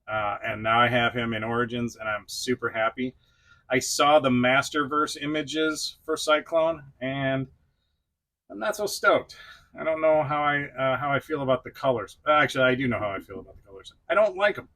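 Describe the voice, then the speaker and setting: Bright-voiced man chatting casually. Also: mid-range voice. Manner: chatty, self-deprecating, quick to chuckle. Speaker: toy review channel host. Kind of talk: casual vlog-style review to camera.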